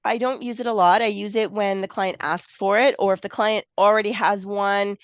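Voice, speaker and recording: A woman with a froggy, hoarse voice from a cold, hosting a casual gardening podcast and thinking aloud as she talks. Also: medium pitch, fairly even intonation, moderate pace, no echo. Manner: chatty, thinks aloud with restarts. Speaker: podcast host, garden designer.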